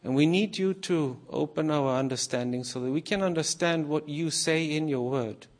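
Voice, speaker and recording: A man from Iceland, a former missionary, giving a nervous, halting sermon to a congregation with many pauses and fillers. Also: a little high. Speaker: guest preacher.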